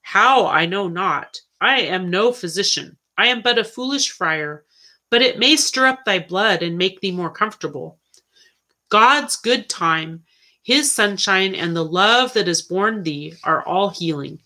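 Woman reading as a humble friar, bright-voiced. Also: mid-range voice, animated. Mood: gentle, encouraging. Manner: measured, archaic, earnest speech. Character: humble, caring medieval friar encouraging a boy.

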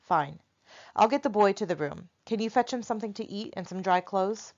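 A woman reading the lines of a huge, gruff bearded man who scolds. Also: medium pitch, normal speed, darkish tone, natural voice. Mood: brisk. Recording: dull lo-fi recording.

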